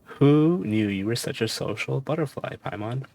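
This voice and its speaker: A man giving casual gameplay commentary as a streamer, sometimes reading game text aloud, with a gravelly voice. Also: medium pitch, lively intonation, moderate pace, darkish tone, slight background noise. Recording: faint game music behind. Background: game music.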